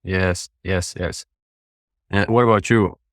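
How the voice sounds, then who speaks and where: A man from Latvia with a Latvian accent chatting casually as a guest, halting and repeating short phrases. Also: low-pitched, steady tone, no room sound, clean studio sound. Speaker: podcast guest. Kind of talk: casual chat.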